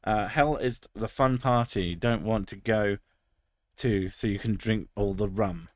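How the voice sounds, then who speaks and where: British man, thirties, crude, sweary, casual stream chat, low voice, dry sound. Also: measured pace, no echo. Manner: crude, sarcastic, swears freely.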